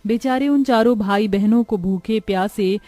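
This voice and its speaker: Mellow woman narrating a moral story. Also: mid-range voice, moderate pace.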